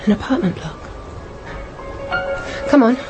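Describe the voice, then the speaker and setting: An actress playing a brisk, wary, quietly daring British housewife and mother in a radio drama, composed and dry, with old-fashioned diction. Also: fairly high pitch, expressive, lo-fi sound, music in the background. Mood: tense, coaxing.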